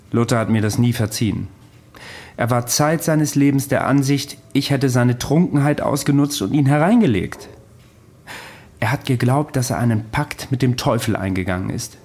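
An actor playing a wry, ancient immortal man recounting his past in a measured way, in a radio drama. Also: medium pitch, expressive, a little mellow. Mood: wry recollection.

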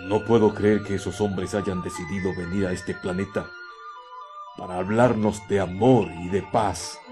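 A man's voice: sits low, steady tone, unhurried. A man playing a bitter, ruthless Martian in a radio drama, speaking in a theatrical, brooding monologue. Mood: scornful, cold. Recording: loud music under the voice.

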